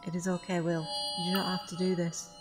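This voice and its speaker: A lowish female voice of a protective, compassionate companion, played by a woman. She is formal and earnest with a streak of indignation, in horror audio drama style over clear music. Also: level tone, a little fast, lightly acted. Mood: gentle reassurance.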